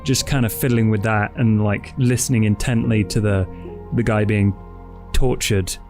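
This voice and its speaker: A man playing a tabletop game, casually improvising description in a halting way, searching for words, with music behind. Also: fairly low pitch, steady tone, darkish tone. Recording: music under the voice. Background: background music.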